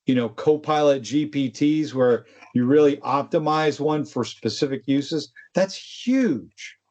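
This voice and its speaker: Higher-pitched man, casual tech co-host. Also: a little slow, a little mellow, dull audio. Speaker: co-host of a tech discussion show. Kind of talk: casual conversational tech discussion.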